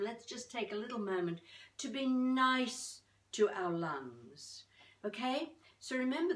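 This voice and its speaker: An older woman giving an informal voice-coaching lesson to camera in an expressive, chatty, encouraging way with playful asides. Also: lowish voice. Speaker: voice coach presenting a tutorial. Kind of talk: informal instructional talk to camera. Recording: echoey room, distant microphone.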